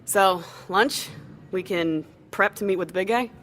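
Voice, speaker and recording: A woman's voice: mid-range voice, a little slow, fairly bright tone, natural voice. Woman acting a brash, wisecracking deputy. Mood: teasing, brisk. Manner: teasing, wisecracking, blunt. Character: brash, salty, loyal female deputy security officer. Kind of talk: modern audio drama acting.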